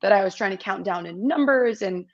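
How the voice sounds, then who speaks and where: A woman in her twenties with a slightly raspy voice, chatting upbeat as a guest in an interview over a video call. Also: medium pitch, fairly quick, dull audio. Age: 20s. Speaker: competition MC, guest. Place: small indoor room.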